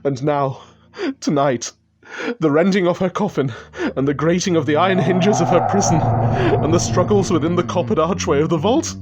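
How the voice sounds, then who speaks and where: An actor playing a terrified, guilt-ridden gentleman in a radio drama, speaking in feverish, theatrical, archaic phrases. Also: fairly high pitch, normal speed, close to an everyday voice. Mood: feverish terror. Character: terrified, guilt-ridden, nervously sick gentleman haunted by his sister. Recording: dramatic music behind the voice.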